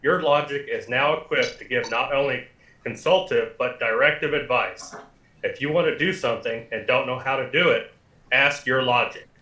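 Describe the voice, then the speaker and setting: A man's voice: medium pitch, moderate pace, pushed and bright, roomy sound, close to an everyday voice, low-quality recording. A man voicing an impassive computer service in a radio comedy, speaking like a formal, matter-of-fact announcer. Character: impassive talking computer service giving deadly advice.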